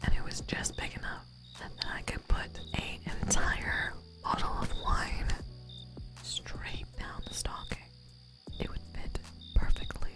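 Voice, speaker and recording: A woman live streamer whispering softly to her viewers, playful and giggly, rambling with many fillers, close to the microphone. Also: measured pace. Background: music playing.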